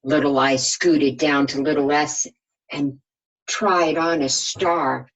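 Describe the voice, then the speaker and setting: A woman's voice: sits low, fairly even intonation, takes it slowly, rough recording. A woman reading a children's storybook aloud like a kindergarten teacher, expressively and with questions for her listeners.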